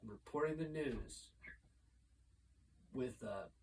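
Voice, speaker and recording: A man's voice: medium pitch, slow. An American man from the United States hosting a playful mock press conference in a rambling, mock-formal way. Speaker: vlogger. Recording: loud background noise.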